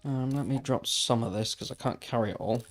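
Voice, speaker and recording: A man's voice: medium pitch, brisk, faint music behind. Man musing aloud while gaming. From Wales. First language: Welsh. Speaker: gaming livestreamer. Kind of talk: casual chat while playing.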